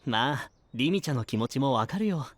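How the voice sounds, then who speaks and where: A man's voice: medium pitch, lively intonation, brisk, dry recording, close to an everyday voice. A man playing an artistic knight with theatrical, admiring, poetic phrasing in an anime-style audio drama. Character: artistic, flowery, smooth-talking male knight singer.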